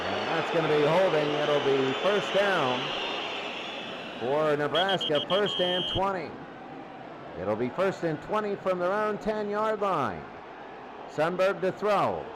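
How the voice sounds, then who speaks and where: A man's voice: fairly high pitch, expressive, slow, long pauses, very noisy. A man giving live play-by-play football commentary as a sports announcer.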